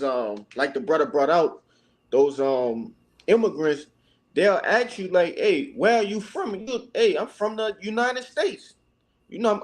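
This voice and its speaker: A man taking part in a Bible study discussion, speaking casually in halting, colloquial phrases. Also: high voice.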